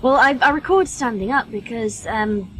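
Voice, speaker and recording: A woman's voice: fairly high pitch, normal speed, slight background noise, low-quality recording. A British woman chatting informally as a podcast guest, with a self-deprecating, colloquial way of talking. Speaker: featured guest, voice actress. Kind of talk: casual podcast chat.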